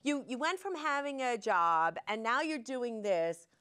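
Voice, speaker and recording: A woman hosting a casual interview, telling stories in an animated, enthusiastic way. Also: a little high, measured pace, clear, resonant tone. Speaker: interview host.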